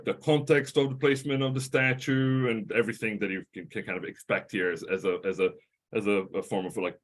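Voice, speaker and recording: A middle-aged man with a Portuguese-speaking accent gives a lecture as a history professor. His delivery is halting, full of fillers, restarts and tag questions. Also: fairly low pitch, a bit bright. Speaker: history professor giving a talk. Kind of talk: academic lecture.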